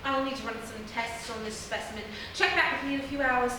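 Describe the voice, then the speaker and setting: A woman's voice: a little high, steady tone, fast talker, lightly acted. A woman playing a pompous, wordy female professor in live radio comedy theatre, dramatic and erudite. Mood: alarmed, ominous. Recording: echoey theatre stage, distant mic, faint noise.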